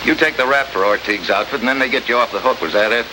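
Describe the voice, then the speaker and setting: An actor playing a tough, wisecracking American special agent in an old radio drama, sardonic and slangy. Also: male voice, medium pitch, quick pace, close to an everyday voice. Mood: needling, hard. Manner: sardonic, hard-boiled, slangy. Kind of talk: 1950s radio drama acting. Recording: noisy old radio recording, heavy hiss.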